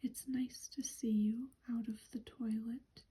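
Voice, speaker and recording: Woman whispering as a know-it-all schoolgirl, breathy, slow, soft higher voice, storybook reading. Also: level tone, full character voice. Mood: falsely bright. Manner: whispered, falsely bright.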